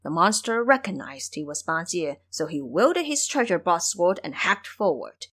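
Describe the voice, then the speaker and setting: Animated woman storyteller. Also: on the low side, normal speed. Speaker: narrator of an audio drama series. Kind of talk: scripted dramatic storytelling narration.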